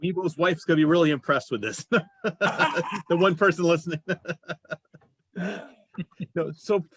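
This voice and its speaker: A man's voice: a little high, moderate pace, a bit bright, slight background noise. A man hosting a casual interview, talking in an agreeable, encouraging, conversational way. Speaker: interview show host.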